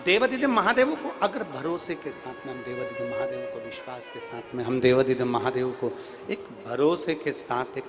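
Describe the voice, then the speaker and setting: A man's voice: mid-range voice, animated, normal speed. A man giving a fervent devotional sermon who repeats his phrases and reads a letter aloud to a large live gathering. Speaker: preacher. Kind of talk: devotional sermon with sung hymn. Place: large outdoor religious gathering. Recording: long reverb from a big venue, with music behind. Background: devotional music.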